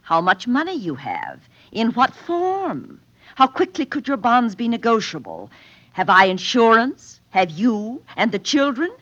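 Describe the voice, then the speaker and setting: Grave, protective wife, expressive pitch. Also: female voice, unhurried, heavily acted. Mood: suspicious. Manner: dramatic, intense, portentous. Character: wary, protective French wife and mother, grave and foreboding. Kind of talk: 1950s radio drama acting. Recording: muffled vintage radio recording.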